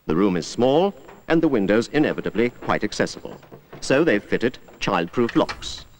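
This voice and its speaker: A British man narrating a 1980s public information film in a measured, instructive way, as an earnest safety narrator. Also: fairly low pitch, sing-song. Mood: measured, serious. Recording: noisy old 1980s film soundtrack.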